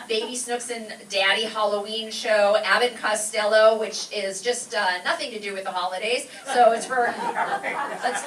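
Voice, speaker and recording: A woman's voice: fairly high pitch, sharp tone. An American woman giving an effusive, informal welcome speech to an audience as a class instructor, recorded in a live event room. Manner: effusive, enthusiastic. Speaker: class instructor introducing a show. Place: live event room at a community learning center. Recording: roomy, distant mic with background noise.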